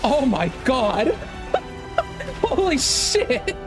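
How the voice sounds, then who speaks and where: A gaming content creator giving casual live reaction commentary, excitable and exclamatory, swearing freely. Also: high-pitched, extremely slow. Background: trailer music behind the voice.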